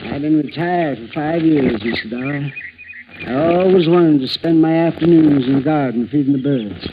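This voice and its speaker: A man's voice: on the high side, normal speed, narrow, tinny audio, lightly acted. An actor playing a sour, fussy, wealthy retired man of fifty-seven in 1950s radio drama style, hemming and peremptory. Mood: fussy, musing. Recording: noisy, band-limited old radio recording.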